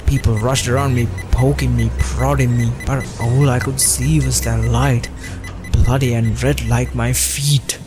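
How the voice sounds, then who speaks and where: A man's voice: mid-range voice, level tone, measured pace, over music. A man reading a scripted first-person horror story as narrator, with a brooding, dramatic delivery.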